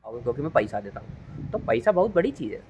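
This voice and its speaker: A man's voice: medium pitch, big pitch swings, fast, a little mellow, slightly rough. A young man gives a casual, rambling and jokey spoken recap of a film, acting out bits as he tells it. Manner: jokey, rambling storyteller, acts out dialogue. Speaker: movie reviewer. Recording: noisy ambient location recording.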